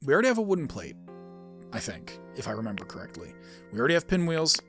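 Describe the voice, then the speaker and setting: A man's voice: medium pitch, huge pitch swings, fast, fairly bright tone, faint music behind. A man giving casual let's-play commentary as host, rambling and thinking aloud. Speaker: gaming video host.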